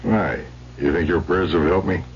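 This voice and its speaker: An actor playing a gruff, awkward older ex-convict with an offhand, halting manner in an old-time radio drama. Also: male voice, low-pitched, fairly even intonation. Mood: wry, skeptical. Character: gruff, aging ex-convict turned rich, awkward host. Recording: muffled, hissy old radio recording.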